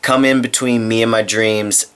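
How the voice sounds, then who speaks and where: Low-voiced young man vlogging from bed. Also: fairly even intonation, moderate pace, a bit bright, smooth. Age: 20s. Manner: rambling, candid, self-deprecating. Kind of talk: casual vlog chat to camera. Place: bedroom, lying in bed. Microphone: handheld camera mic.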